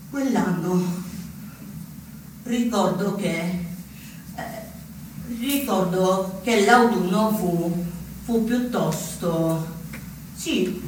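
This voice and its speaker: An actor playing an agitated, furtive neo-fascist in a halting theatrical monologue, repeating himself insistently. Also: male voice, very high voice, bright, forceful tone. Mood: hesitant, musing. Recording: echoey distant stage recording, faint noise.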